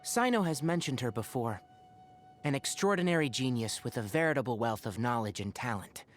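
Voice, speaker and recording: An earnest young forest ranger from a video game, played by a man, with a mid-pitched voice that rises and dips expressively. He sounds scholarly and caring, with a dry, wry streak and articulate phrasing. Music plays behind him. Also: lively intonation, a little fast, close to an everyday voice. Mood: thoughtful. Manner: articulate, thoughtful, dryly opinionated. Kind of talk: scripted game character monologue.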